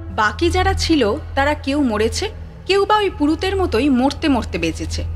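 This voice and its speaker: A woman narrating a scripted ghost story in a lively, wry storytelling manner. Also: sits high. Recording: sitar music bed behind.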